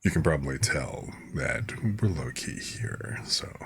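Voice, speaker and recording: A hoarse man hosting a live stream, chatting in a relaxed, rambling, soft-spoken way. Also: extremely low pitch, unhurried, background noise. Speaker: streamer host. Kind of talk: laid-back stream chat.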